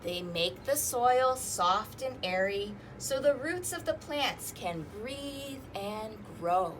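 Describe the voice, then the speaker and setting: A woman's voice: a little high, expressive, slow, noisy background. A woman hosting an interactive storytime, reading aloud to young children with singsong emphasis and inviting them to join in. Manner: asks listeners questions and invites them to join in.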